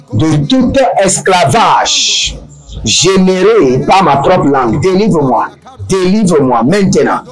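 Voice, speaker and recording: A man leading a deliverance prayer service, preaching slowly and emphatically and chanting long runs of speaking in tongues. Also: high voice, rough recording.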